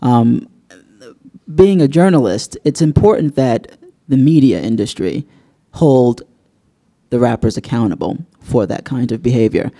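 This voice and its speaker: A male journalist speaks on a university panel with a gravelly, mellow voice. He talks in an earnest, measured way and leans into storytelling to make his point. The delivery is thoughtful rather than showy. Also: mid-range voice, expressive. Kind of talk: panel discussion. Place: university event hall.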